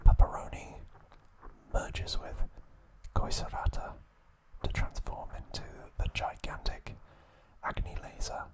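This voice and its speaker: A man hosting an ASMR video, recapping a story in a soft unvoiced whisper with small slips and self-corrections. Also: a little slow, rough recording. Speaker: ASMR host.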